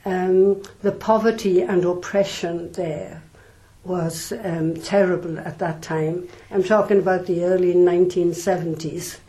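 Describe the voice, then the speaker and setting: An older woman from South Wales speaks, her voice sitting low and mellow. She answers in a measured, reflective way, pausing often to gather her thoughts. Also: fairly even intonation, low-quality recording. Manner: measured, thoughtful, with frequent pauses and ums. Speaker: nun, interview guest.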